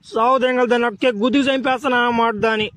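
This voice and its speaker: Man as a blustering cartoon character, very high pitch, slangy ranting, slightly noisy recording. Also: fairly even intonation, racing. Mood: boastful, blustering. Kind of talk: Telugu comedy cartoon spoof dubbing.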